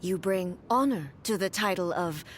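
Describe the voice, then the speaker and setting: A woman voicing a solemn, regal warrior goddess in a video game, speaking in formal, measured, grandiose phrases. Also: on the low side, animated, a little hiss or hum, lightly acted. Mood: solemn, admiring. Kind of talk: dramatic video game voice acting. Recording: loud music under the voice.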